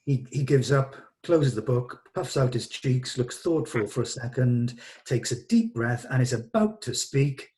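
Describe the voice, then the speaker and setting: A man's voice: mid-range voice, expressive, unhurried, a little mellow. A man narrating stage directions from a script at a table read.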